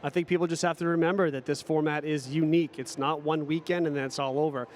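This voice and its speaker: A man's voice: fairly high pitch, fairly even intonation, fast talker, darkish tone. A man giving analysis in a conversational sports broadcast panel. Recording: faint background venue noise.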